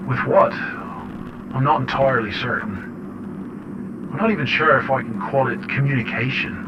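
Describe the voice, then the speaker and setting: A dull recording with clear background noise carries a man acting as an unsettled father keeping an eerie audio journal. His voice is fairly low, and he narrates his horror story in deliberate, halting, reflective phrases. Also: natural voice. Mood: disturbed, intense. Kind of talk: first-person horror audio diary. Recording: muffled, noisy radio-like audio log.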